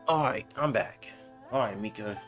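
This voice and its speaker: A man's voice: on the low side, normal speed. Casual male game streamer over music. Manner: laid-back, slangy. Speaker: livestreamer. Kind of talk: casual gaming stream chat.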